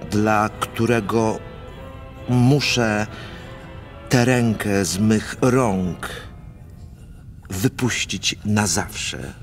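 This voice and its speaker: An actor playing a theatrical count in his fifties, declaiming grandly in a radio drama. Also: lowish voice. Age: 50s. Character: theatrical fifty-year-old Polish count, grandiloquent lover. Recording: music behind the voice.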